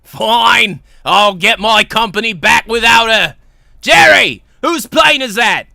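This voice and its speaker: A man voicing a hot-headed, bombastic boss with a booming, commanding delivery. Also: takes it slowly, vocal fry, pushed and bright, dry recording, studio quality, full character voice. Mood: brash, commanding.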